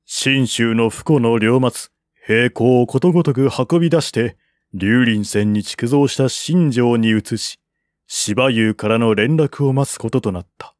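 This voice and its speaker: A man narrating a historical novel in a measured, formal reading style. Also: mid-range voice, dry recording, studio quality. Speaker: audiobook narrator.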